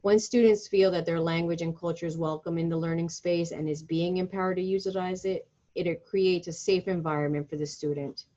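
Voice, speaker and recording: A woman presenting a teacher-training webinar, reading through her slides in a steady, instructive way. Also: fairly low pitch, brisk, few pauses. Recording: muffled lo-fi webinar audio.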